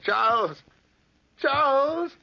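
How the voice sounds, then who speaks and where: A man's voice: extremely high pitch, expressive, drawn-out words, gravelly, lo-fi sound, heavily acted. An actor playing a terrified, helpless old man, quavering and pleading in a 1940s radio horror drama. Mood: alarmed.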